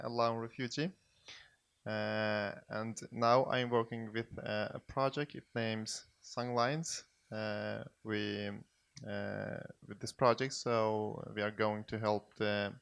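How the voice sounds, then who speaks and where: Guy, english, really slow and halting, smooth voice, dry close sound. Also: lowish voice, a bit bright, no echo. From Afghanistan. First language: non-native English speaker. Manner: halting, careful phrasing. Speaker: panelist, integration project worker. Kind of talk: informal self-introduction on a panel.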